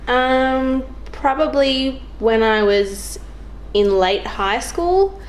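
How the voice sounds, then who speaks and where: A woman's voice: high-pitched, extremely slow, rough recording. A young woman chats casually as a podcast guest, thinking aloud in an informal, hesitant way. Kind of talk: casual chat.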